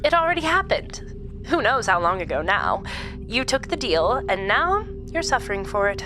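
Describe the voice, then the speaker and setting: An actress playing a sinister supernatural woman captor in a horror audio drama, calm, theatrical and mock-polite as she gloats and schemes. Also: a little high, normal speed, over music. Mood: cruel, satisfied.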